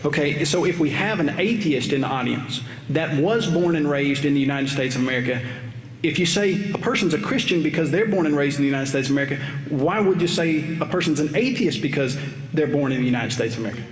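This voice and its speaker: A man in his thirties, debating from a podium microphone on an auditorium stage and asking measured, probing questions. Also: a little high, expressive, faint music behind. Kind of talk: formal debate cross-examination. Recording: roomy hall reverb, faint noise.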